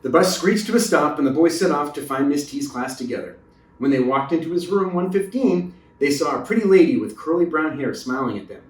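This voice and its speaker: A man's voice: on the high side, lively intonation, a little fast. A man reading a children's story aloud as a narrator, recorded in a roomy space away from the mic. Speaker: storybook reader. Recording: roomy echo, distant mic.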